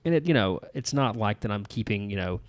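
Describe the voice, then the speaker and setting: A man's voice: lowish voice, lively intonation, fairly quick, no echo. A man, co-hosting a podcast in a folksy, rambling, self-deprecating way. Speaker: podcast co-host. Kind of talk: casual chat.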